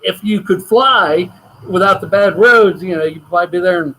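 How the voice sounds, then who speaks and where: A man's voice: high-pitched, moderate pace, a bit bright, slight background noise, rough recording. An American man with a self-described hillbilly twang, co-hosting an easygoing livestream chat. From United States. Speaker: co-host of a livestream.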